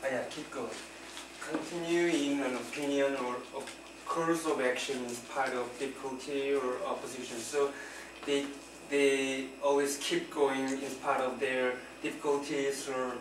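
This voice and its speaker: A man's voice: mid-range voice, flat delivery, measured pace. A young man with a Korean accent presents vocabulary to his class, hesitant and nervous as he speaks. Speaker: student presenter. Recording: roomy, distant, noisy classroom.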